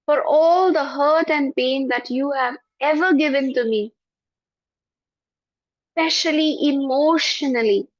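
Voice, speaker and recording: A woman leading a guided forgiveness meditation in a soft, prayerful voice with slow repetitions. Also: high voice, dry recording. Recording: dull lo-fi, dry.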